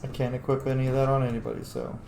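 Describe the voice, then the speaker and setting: A man's voice: medium pitch, flat delivery, racing, a little mellow, a little hiss or hum. A man giving casual let's-play commentary, thinking aloud in an informal, sweary way. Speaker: gaming streamer. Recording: roomy echo, faint game music. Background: game music.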